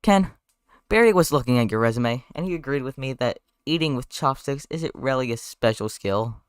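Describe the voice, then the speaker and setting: A man's voice: animated, moderate pace, clear, resonant tone, no echo, clean studio sound, close to an everyday voice. A man voicing an earnest, protective young woman with a scolding manner. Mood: pointed. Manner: high-pitched, scolding.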